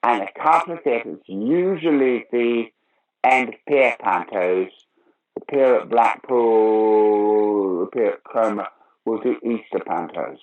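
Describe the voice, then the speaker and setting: A man's voice: on the low side, slow pace, narrow, tinny audio. An older man, answering interview questions conversationally in a wry, opinionated, emphatic way. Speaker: interview guest, actor, writer and director of pantomime.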